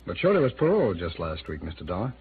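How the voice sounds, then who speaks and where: Guarded, low-voiced American attorney. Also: male voice, huge pitch swings, fast. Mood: explanatory. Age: 30s. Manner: hesitant, defensive, conversational. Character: guarded, smooth-talking male city attorney in his thirties. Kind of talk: mid-century American radio drama acting. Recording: phone-like, noisy old radio recording.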